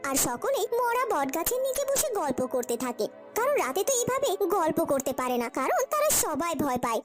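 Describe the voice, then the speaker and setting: Very high-pitched animated woman storyteller. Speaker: narrator. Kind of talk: dramatic scripted cartoon story narration.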